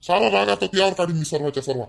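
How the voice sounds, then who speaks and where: A man's voice: high voice, racing, creaky. A man playing an angry young man of the family who scolds and threatens. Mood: scolding. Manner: shouting, threatening. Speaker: actor in a family drama skit.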